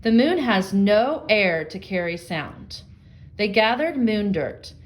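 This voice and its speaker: A woman's voice: medium pitch, sing-song, slow pace. Woman teaching young children through a read-aloud. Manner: addresses young children directly, thinking aloud with guiding questions. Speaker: elementary school reading teacher.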